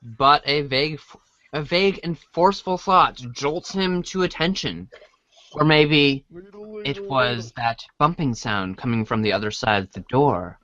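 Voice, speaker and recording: A man's voice: medium pitch, a little slow, sharp tone, no echo, muffled recording. A man narrating a scripted read-along storybook in the second and third person.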